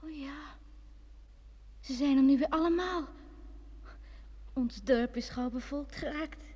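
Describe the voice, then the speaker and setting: A woman's voice: sits high, slow pace, mellow. An actress playing a wistful, tender woman in an old radio drama. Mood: wistful, hushed. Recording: dull vintage radio play recording.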